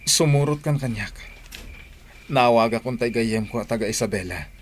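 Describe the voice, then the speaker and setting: An actor with an Ilocano accent playing an earnest, stubborn grown son in love in a radio drama, speaking insistently. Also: male voice, mid-range voice, normal speed, a little hiss or hum, natural voice. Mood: urgent, pleading.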